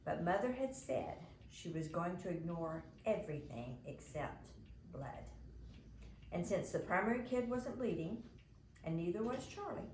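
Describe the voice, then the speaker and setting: A woman reads a children's story aloud with a lively, swooping delivery. Her voice sits a little low, and she narrates expressively. The room is echoey. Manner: animated, dramatizing the characters' lines. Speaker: storybook reader. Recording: echoey room with faint background noise.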